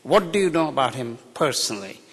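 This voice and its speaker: A man's voice: medium pitch, big pitch swings, quick pace, a bit bright, slightly rough. A middle-aged man from India with a mixed Indian, British and American accent, giving an unscripted, joking tribute speech at a podium microphone. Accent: Indian English with British and American influences. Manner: candid, joking, outspoken. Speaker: guest speaker at a ceremony. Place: large hall. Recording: reverberant hall.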